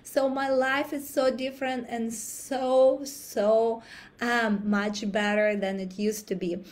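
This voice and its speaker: A woman in her thirties speaks to her viewers, her fairly high voice roughened and raspy from a recent cold. She chats in a friendly, loose way, laughing and clearing her throat between thoughts. It sounds like a laptop webcam mic in a small home office. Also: fairly even intonation, slow pace. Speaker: life coach. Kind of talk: casual live stream talk.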